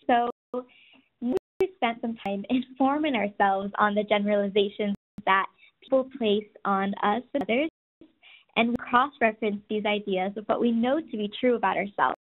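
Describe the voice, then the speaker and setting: A soft-spoken woman in her twenties giving a scripted presentation, telling stories and speaking directly to listeners. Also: mid-range voice. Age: 20s. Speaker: webinar presenter. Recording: tinny phone-like audio.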